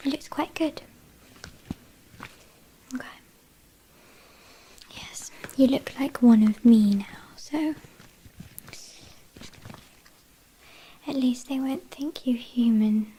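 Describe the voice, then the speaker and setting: A woman's voice: high voice, dark tone, breathy edge. A woman with a British accent playing a teasing, haughty vampire kidnapper in an ASMR roleplay, whispering breathily. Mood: soothing, playful. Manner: teasing, mock-apologetic, unhurried.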